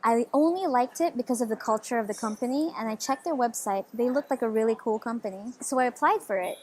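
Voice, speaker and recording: Chatty young woman, fairly high voice. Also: fast, darkish tone. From Philippines. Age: 20s. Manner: eager, chatty, fillers like "like" and "you know". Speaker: interview guest. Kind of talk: casual conversational interview.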